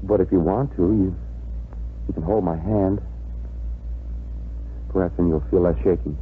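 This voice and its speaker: An American actor playing a brisk but tender man in a 1950s radio drama. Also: low-pitched, fairly even intonation. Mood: gentle, teasing. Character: Mark, brisk, tender man rescuing a hurt dog. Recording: old radio broadcast, narrow band, very noisy.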